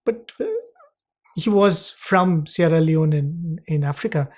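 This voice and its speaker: A man narrating a devotional anecdote conversationally, using tag questions and gentle humour. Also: on the high side, unhurried. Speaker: satsang co-host.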